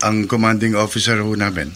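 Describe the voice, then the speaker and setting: A man's voice: lowish voice, fairly even intonation, fairly quick, a bit bright, a little hiss or hum, natural voice. An actor in a Filipino radio drama playing a respectful soldier who cares for his family. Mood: earnest, caring. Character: caring soldier, respectful son and protective brother.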